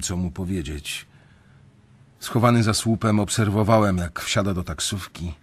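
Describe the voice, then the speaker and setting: A young banker telling his own story in a radio drama. His voice is fairly low, his delivery measured, introspective and uneasy. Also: husky. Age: young adult. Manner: measured, reflective. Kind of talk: first-person radio drama narration.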